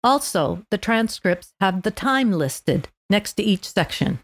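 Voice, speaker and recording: A woman's voice: mid-range voice, animated, measured pace, no room sound. A woman podcast host reading a scripted narration aloud for language learners.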